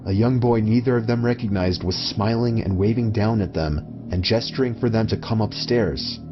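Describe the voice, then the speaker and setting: A man's voice: lowish voice, moderate pace. A man reading a scripted first-person horror story as its narrator. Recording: muffled lo-fi audio under music. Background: prominent background music.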